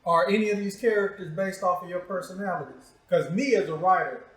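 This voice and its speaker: A man's voice: high voice, brisk. An American man interviewing as a show host in a casual, joking way, with teasing, provocative, slangy talk. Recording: echoey, reverberant room.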